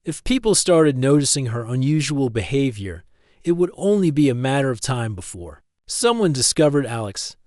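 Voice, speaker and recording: A man's voice: medium pitch, sing-song, moderate pace, darkish tone, no room sound, clean studio sound. A man reading a scripted story as an audiobook-style narrator.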